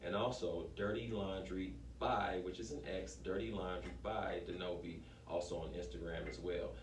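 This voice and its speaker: Male interview guest, lowish voice, informal, emphatic, promotional business talk, roomy echo, off-mic. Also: fairly even intonation, moderate pace, vocal fry. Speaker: small business owner being interviewed. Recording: echoey room, distant mic, faint noise.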